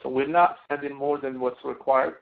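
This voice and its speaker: A man working as a college instructor, explaining step by step in an online class with a halting delivery that often restarts. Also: medium pitch, fairly even intonation, creaky. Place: online screen-sharing class session. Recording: tinny phone-like webinar audio.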